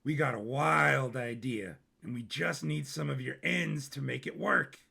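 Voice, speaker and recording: A man's voice: mid-range voice, measured pace, creaky, sharp tone, husky, heavily acted. A man voicing a bored thirty-year-old prince in an audio story, with slangy, laid-back bro talk. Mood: casually pitching.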